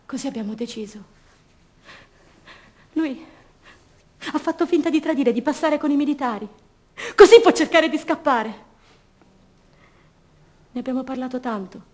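An actress playing an anguished, defiant young woman held prisoner, in an intimate, bitterly ironic radio drama monologue. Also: sits high.

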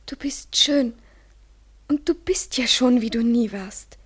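An actress in a literary German radio play playing a tender, devoted woman in love, fragile and imploring, often breathy and near a whisper. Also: a little high, slow pace. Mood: adoring, whispered.

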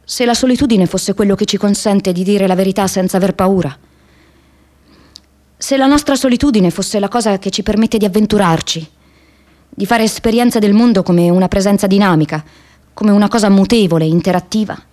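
An actress playing a restless, wry young American woman activist in an expressive, introspective radio drama monologue. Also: mid-range voice, fairly quick, close to an everyday voice. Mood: earnest, reflective. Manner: expressive, introspective, shifts between playful and wistful.

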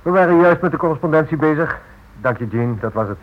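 A man acting in a radio drama as a brisk, businesslike, matter-of-fact office man. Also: a little high, lively intonation, lightly acted. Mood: brisk, indifferent. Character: brisk, indifferent businessman dictating to his secretary. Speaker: actor. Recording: muffled old radio recording.

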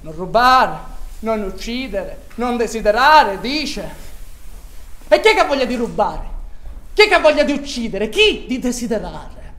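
Actress doing bitter ironic woman, high voice that swings around, stage monologue, roomy. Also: sing-song, a little slow, a bit bright. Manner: rhetorical questioning. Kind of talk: theatrical monologue. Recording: roomy echo.

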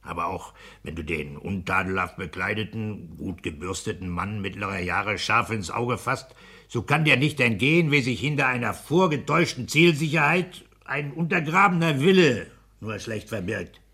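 Polternder, herrischer Spießer mit forscher Stimme. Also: male voice, lowish voice, lively intonation, normal speed, creaky, sharp tone, husky, exaggerated voice. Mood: scolding, domineering. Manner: pedantic, domineering, emphatic. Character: blustering, bullying petty-bourgeois husband and civil servant. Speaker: radio play actor. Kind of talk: 1960s German radio play acting.

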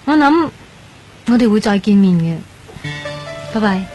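A woman's voice: mid-range voice, expressive, unhurried, a little mellow, husky, faint music behind, poor audio. An actress playing a dreamy, earnest young woman in a radio drama, speaking Hong Kong Cantonese. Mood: friendly, shy.

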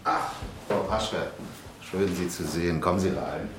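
A man's voice: low-pitched, a little slow. A man playing a courteous, slightly fumbling politician in a radio drama, polite and hesitant. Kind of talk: naturalistic radio drama acting. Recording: echoey room with background noise.

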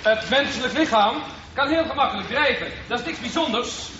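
Radio drama swim coach: very high-pitched, bossy, teasing, ringing in an echoey space. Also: male voice, lively intonation, fairly quick. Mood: instructive. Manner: commanding, jocular, teasing. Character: brisk, teasing, bossy male swimming teacher. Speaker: swimming instructor. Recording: echoey, dull, noisy pool-like acoustic.

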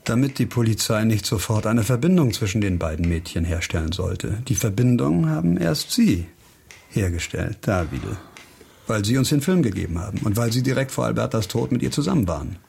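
An actor playing a brooding, driven amateur investigator in a radio crime drama, terse and intense with hesitant pauses. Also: male voice, fairly low pitch, lively intonation, gravelly, darkish tone, husky, full character voice. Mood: grim, probing. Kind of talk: radio crime drama acting with inner monologue. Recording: music behind the voice.

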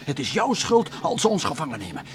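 Fretful male space traveller, children's radio play: highish, very animated, alarmed and exasperated delivery. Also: fast talker. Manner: anxious, exasperated, exclamatory. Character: fearful, grumbling space traveller, prone to alarm. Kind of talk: old-fashioned children's radio play acting. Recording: old radio play recording.

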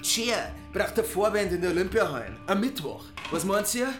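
A man acting a cocky, excitable small-time rocker in a radio drama, with a Bavarian accent and punchy slangy delivery. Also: high-pitched, moderate pace, roomy sound, soft background music. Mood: boastful, excited. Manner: colloquial, punchy, tags sentences with questioning tics.

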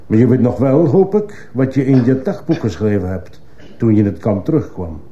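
A man's voice: mid-range voice, lively intonation, normal speed, warm tone, close to an everyday voice. A man playing a stern, probing judge who fires insistent questions in a radio courtroom drama. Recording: muffled, hissy old radio play recording.

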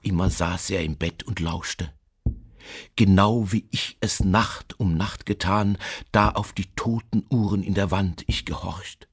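An actor playing an obsessive, mad murderer who insists he is sane, confessing in a dramatic radio monologue with hushed intensity and deliberate emphasis. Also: low-pitched, slightly rough, full character voice. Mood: hushed, suspenseful.

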